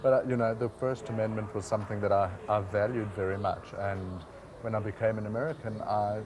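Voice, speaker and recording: A man's voice: fairly low pitch, fairly even intonation, a little fast, a crowd in the background. A man from South Africa, a website founder answering interview questions with many fillers and restarts.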